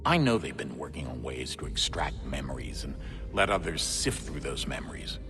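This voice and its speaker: An actor playing a steady middle-aged father and veteran of a secret order in a video game, measured and matter-of-fact. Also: rumbling low, music in the background. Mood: serious, reflective. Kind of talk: video game cutscene acting.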